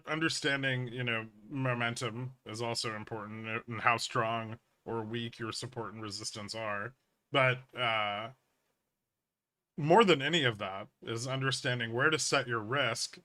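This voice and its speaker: A man's voice: mid-range voice, measured pace, sharp tone. A man hosting a casual livestream, explaining things bluntly and emphatically with some swearing and answering viewers as an educator. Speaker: livestream host, trading educator.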